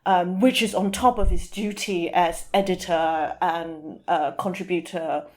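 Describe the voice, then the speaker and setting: A woman speaking as an interview guest, explaining things informally and sometimes pausing to search for the right word. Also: fairly low pitch, steady tone.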